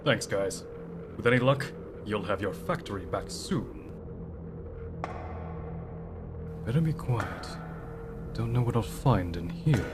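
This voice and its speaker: An actor playing a determined, inquisitive drifter hero in an audio drama, plain-spoken and steady. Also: male voice, lowish voice, a little slow, over music. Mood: cautious.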